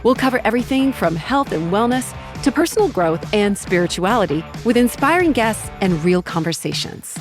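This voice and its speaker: A woman's voice: medium pitch, lively intonation, moderate pace, few pauses. A woman host reading an upbeat scripted show introduction with an encouraging delivery. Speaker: podcast host. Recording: voice over clear background music.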